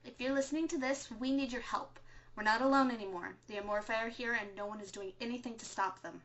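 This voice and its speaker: A woman playing a composed, earnest resistance radio broadcaster in a scripted audio drama, speaking in a measured, solemn way. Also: a little high, a bit bright, highs cut off, close to an everyday voice. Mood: urgent, resolute. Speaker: radio show host.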